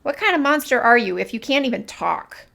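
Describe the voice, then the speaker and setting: A woman voicing a small, fierce, boastful male mouse in a children's storybook, loud and emphatic. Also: high-pitched, very animated, a little slow, exaggerated voice. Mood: fierce, scolding. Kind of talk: children's storybook read-aloud.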